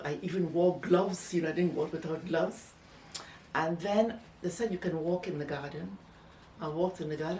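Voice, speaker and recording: Older woman reminiscing, lowish voice, echoey room. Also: a little mellow. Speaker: interviewee, former nurse. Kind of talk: personal reminiscence in interview. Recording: echoey room, distant mic, faint noise.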